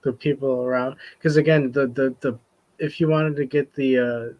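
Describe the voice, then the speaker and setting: A man chatting casually, speaking haltingly with repeated words and pauses. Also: mid-range voice, fairly even intonation, highs cut off. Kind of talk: casual chat.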